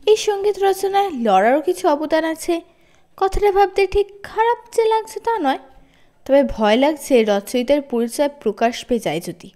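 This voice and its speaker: High-pitched, animated woman storyteller. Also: normal speed. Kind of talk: expressive audiobook narration of a children's story.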